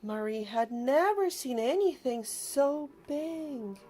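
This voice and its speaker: Female storybook reader, higher-pitched, unhurried and expressive, dramatic emphasis for young listeners, music underneath. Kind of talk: children's read-aloud narration. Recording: music behind the voice.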